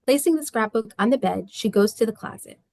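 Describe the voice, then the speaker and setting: Casting: female script narrator, mid-range with noticeable fry, little room sound. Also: a little high, animated, a little fast, dark tone, dry recording. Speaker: stage-direction narrator.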